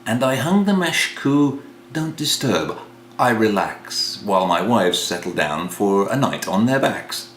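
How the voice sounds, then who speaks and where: A man reciting verse as a storyteller, with a dramatic delivery that moves between hushed and exclaimed phrases. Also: on the low side, very animated, a little slow, few pauses. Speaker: reader of a comic poem. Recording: roomy echo, faint hum.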